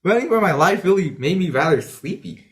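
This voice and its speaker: A man's voice: high voice, animated, a little fast. Man playing a sleepy, swooping young adventurer. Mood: weary. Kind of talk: audio drama acting.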